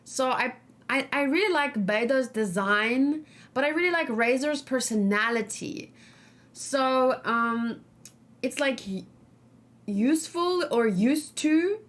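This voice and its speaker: A woman's voice: sits high, lively intonation, takes it slowly, a bit bright. A woman gaming streamer talking casually and informally, rambling and thinking aloud as she hosts a let's play.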